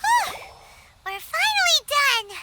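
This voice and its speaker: A woman voicing a tiny, childlike fairy companion in a video game, squeaky, curious and cheeky, with an exclamatory way of talking. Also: slow, full character voice. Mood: excitable. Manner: exclamatory, inquisitive, expressive. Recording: music under the voice. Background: prominent background music.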